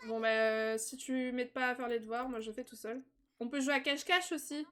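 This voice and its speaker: A woman's voice: on the high side, fairly quick. Chatty young woman streamer. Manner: chatty, laughs often, sudden excited squeals. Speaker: streamer playing a life-simulation game. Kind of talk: casual live-stream commentary.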